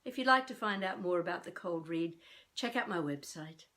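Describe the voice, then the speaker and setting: An older woman from Australia teaches off the cuff as a voice coach. Her voice sits a little low for a woman. She sets her phrases apart with deliberate pauses and leans on key words with a theatrical, expressive touch. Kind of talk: improvised instructional talk to camera.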